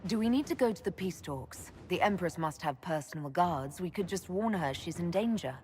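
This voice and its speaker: A woman's voice: fairly low pitch, expressive, moderate pace, a little mellow, faint music behind, lightly acted. An actress playing a decisive fantasy game heroine, directly questioning her advisors. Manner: direct, inquisitive. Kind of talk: dramatic game dialogue.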